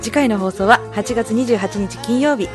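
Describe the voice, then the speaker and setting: A woman's voice: a little high, moderate pace, over music. A woman hosting a radio show, presenting segments and interviewing her guest. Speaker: radio show navigator, host.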